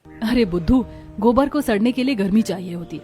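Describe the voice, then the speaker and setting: A high-voiced eldest daughter-in-law from a village cartoon , played by a woman. She explains and gives instructions with patient assurance. Music runs under her scripted lines. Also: animated, moderate pace, dark tone, lightly acted. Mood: assured, instructive.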